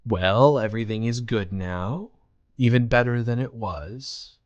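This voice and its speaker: A man playing an earnest, nervous teenage boy in love, speaking in a halting, stammering, rambling way in an intimate romantic audio roleplay. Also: medium pitch, close to an everyday voice. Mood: tender, relieved. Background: music.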